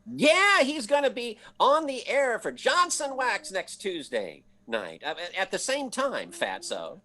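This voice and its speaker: Man playing bumbling radio comedy husband, highish voice, swooping intonation, folksy, blustering, soft-hearted. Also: a little slow, exaggerated voice. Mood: cheeky. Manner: stammering, folksy, excitable. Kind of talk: old-time radio comedy acting.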